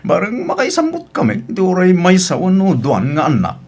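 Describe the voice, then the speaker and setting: A man playing a husky older Ilocano cousin. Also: high-pitched, wildly swooping pitch, measured pace, darkish tone, exaggerated voice. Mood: teasing. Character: older male cousin Manong Guryo. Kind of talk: Ilocano radio drama acting.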